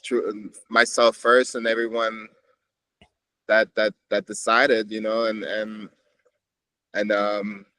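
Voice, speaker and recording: Male guest, even monotone, dry close sound, reflective rambling. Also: fairly low pitch, takes it slowly, long pauses, no echo. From Switzerland. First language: Swiss German. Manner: reflective, meandering, with many restarts. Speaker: podcast guest, musician. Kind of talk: casual conversation.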